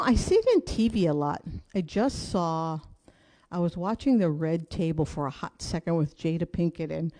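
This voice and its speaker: A woman's voice: fairly low pitch, warm tone. Upbeat woman leading a hula class. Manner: upbeat, calls out steps and counts. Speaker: hula instructor. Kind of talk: teaching a live online dance class. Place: indoor room with curtains closed.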